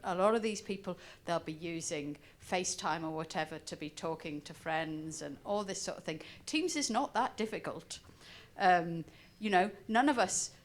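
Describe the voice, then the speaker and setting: Emphatic woman speaking up from an audience. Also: lowish voice. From Wales. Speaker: audience member. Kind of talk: opinionated discussion remarks from the audience. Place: conference breakout room, speaking from the audience.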